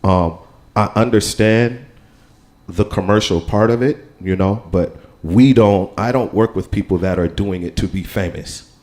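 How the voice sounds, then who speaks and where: Low, mellow-voiced American man talking loosely. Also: a little slow. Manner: rambling, keeps saying "you know what I'm saying". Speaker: panel guest, percussionist. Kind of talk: casual panel talk. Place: live radio studio with audience.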